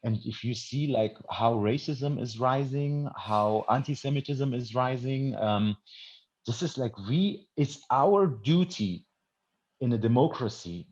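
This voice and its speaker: A man born in Iran who is more German than Iranian, a non-native English speaker and film director, answering interview questions earnestly and emphatically, with halting restarts. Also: medium pitch, a little mellow. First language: not English. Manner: earnest, emphatic, halting with repeated word starts. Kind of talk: festival Q&A conversation. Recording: dull, lo-fi audio.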